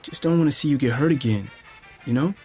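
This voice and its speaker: A man acting a protective, touchy high school athlete in an audio drama, speaking in a casual, clipped way. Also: quick pace, dark tone, soft background music, lightly acted. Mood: worried.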